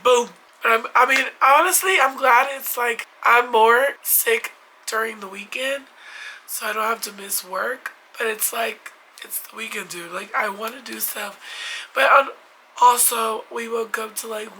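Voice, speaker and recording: A young woman with a hoarse, congested voice from a cold, chatting casually about her reading from home. She rambles, with lots of fillers and restarts. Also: a little high, pushed and bright, a little hiss or hum, rough recording. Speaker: book vlogger. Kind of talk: casual chat. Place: at home in bed.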